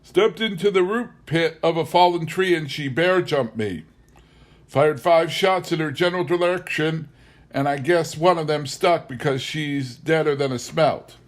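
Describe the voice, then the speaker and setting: Man acting a loud, offhand backwoods husband. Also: a little high, unhurried, voice pushed up, natural voice. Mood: offhand bragging. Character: tough backwoods husband, offhand and boastful after danger. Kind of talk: audiobook character voice.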